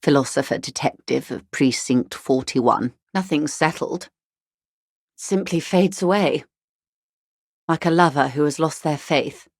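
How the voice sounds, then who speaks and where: Wealthy worldly middle-aged lady, deep female voice, measured, eloquent, wry, world-weary, dry studio sound, video game dialogue. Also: slightly rough, no room sound, exaggerated voice. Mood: wry, musing.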